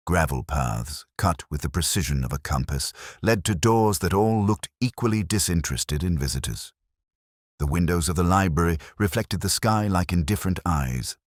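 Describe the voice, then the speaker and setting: A man narrating a scripted first-person detective story in a measured, reflective, literary manner. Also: sits low, no echo, clean studio sound.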